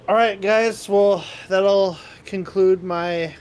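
A man's voice: high voice, steady tone, extremely slow, darkish tone, low-quality recording. A man streaming games, talking casually with his co-op partners and viewers. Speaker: game streamer. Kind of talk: casual livestream chat. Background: faint game music.

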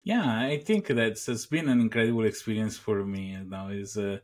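A middle-aged Italian man speaking English with an Italian accent as a guest on a video call, talking slowly with many pauses and "uh" fillers. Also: on the low side. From Italy (Venice). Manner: unhurried, many "uh" pauses, soft trailing phrases. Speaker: guest entrepreneur. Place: home room indoors. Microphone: headset with boom mic on video call.

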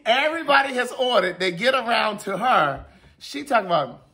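A man's voice: very high voice, big pitch swings, moderate pace, creaky, pushed and bright, echoey room. An American man in his forties telling a story casually and dramatically, exuberant and often laughing as he talks. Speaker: vlogger telling stories. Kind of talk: animated casual storytelling among family. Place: open dining room at home.

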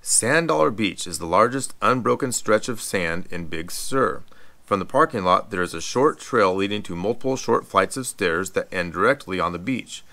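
A middle-aged man reading scripted travel narration in an even, informative guide manner, as a voice-over. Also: on the low side, normal speed. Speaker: travel vlog co-host. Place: voice-over, not recorded on location.

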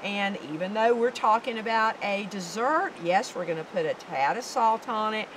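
A woman's voice: medium pitch, expressive, moderate pace. A woman in her fifties from the American South, giving an upbeat, instructive cooking demonstration on an outdoor deck. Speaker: guest cook. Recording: outdoor background noise.

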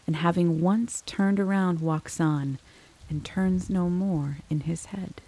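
A woman narrating a gothic audiobook dramatically, in a brooding manner with hushed, near-whispered emphasis. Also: fairly low pitch, slow pace, low-quality recording. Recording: steady rain-like background noise.

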